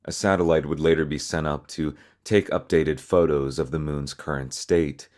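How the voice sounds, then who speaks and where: A man's voice: extremely low pitch, fairly even intonation, normal speed, darkish tone. A man hosting a video, narrating and explaining a fictional horror story.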